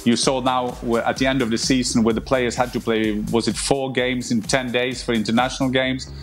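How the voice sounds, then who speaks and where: A man talking in a measured way as a football pundit in a casual panel debate. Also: mid-range voice, little pitch movement, soft background music.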